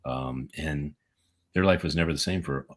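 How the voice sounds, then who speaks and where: A man's voice: a bass voice, moderate pace. An American man co-hosting a casual talk show, rambling and joking and correcting himself as he goes. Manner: rambling, jokey, self-correcting. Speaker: podcast co-host. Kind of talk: casual chat.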